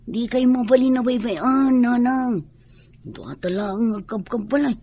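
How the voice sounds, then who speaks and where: An actress playing a dutiful, hard-working young person, earnest and reassuring, in a radio drama. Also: female voice, a little high, unhurried, dark tone, breathy edge. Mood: caring, earnest. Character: dutiful, hard-working young adult child of ailing mother.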